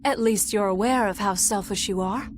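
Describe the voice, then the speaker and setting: A woman's voice: medium pitch, normal speed, lightly acted. An actress playing a bitter, righteous woman in a video game, accusing coldly in formal phrases. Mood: scornful. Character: bitter, righteous female accuser, cold and scornful. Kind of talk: dramatic video game voice acting. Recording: clear background noise.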